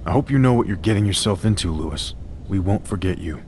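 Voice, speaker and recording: Wary vampire outcast for a game: low-pitched, gruff, cynical, dismissive, over faint background noise. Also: male voice, lively intonation, fast talker, darkish tone. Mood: grudging. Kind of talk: video game cutscene acting.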